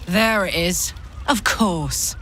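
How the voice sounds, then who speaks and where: A woman's voice: fairly low pitch, slow pace, sharp tone, a little hiss or hum. An actress playing a blunt, cynical female warrior in a video game, with a sardonic manner.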